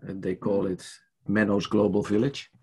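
Older man, Dutch accent, low gravelly voice, halting with fillers, video call from home. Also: level tone, warm tone. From Netherlands. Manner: hesitant, many fillers and restarts. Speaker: church leader interviewee. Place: home study with bookshelves. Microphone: headset on a video call.

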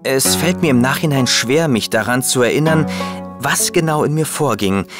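A man plays a loyal, hot-headed chronicler in a detective audio drama. His voice sits at a middle pitch and his delivery is earnest and expressive, with clear music underneath. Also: moderate pace, natural voice. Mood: brooding narration. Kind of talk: German audio drama acting with first-person narration.

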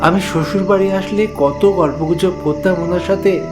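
A narrator plays a friendly village man in a cartoon ghost story, his voice pushed up high. He is chatty, earnest and sentimental, with music clearly audible behind him. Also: fairly even intonation, normal speed, close to an everyday voice. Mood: regretful, earnest. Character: friendly young village man, sentimental son-in-law traveller. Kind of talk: dramatised audio ghost story.

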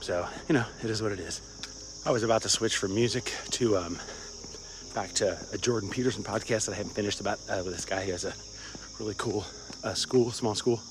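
An older man talks as he walks outdoors, holding his phone out at arm's length and rambling along with plenty of fillers and little chuckles. His voice sits a bit low. Faint crickets and insects hum behind him. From Cincinnati, Ohio. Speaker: vlogger. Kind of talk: casual walk-and-talk vlog. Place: outdoors on a suburban campus walk. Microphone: phone held at arm's length, wireless earbuds.

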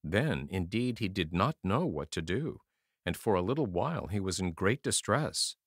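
Low-pitched, expressive male storyteller. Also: normal speed, no room sound, studio quality. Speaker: audiobook narrator. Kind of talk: scripted fairy-tale narration.

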